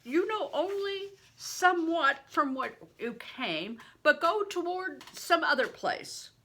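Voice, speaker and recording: A woman voicing a huge, shrewd, blustering medieval man in a storybook, booming and theatrical as he questions in archaic speech. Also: expressive, slow, bright, forceful tone, slight background noise, exaggerated voice. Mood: scornful, loud.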